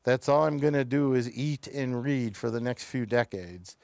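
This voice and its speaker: A man hosting a casual review discussion, talking in a halting way that often trails off and picks up again. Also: mid-range voice, creaky, a little mellow, clear, resonant tone, no echo, studio quality. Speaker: show host reviewing television episodes.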